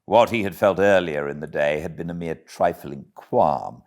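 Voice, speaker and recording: A British man narrating a classic storybook as an audiobook reader, with expressive delivery. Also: low voice, normal speed. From Britain.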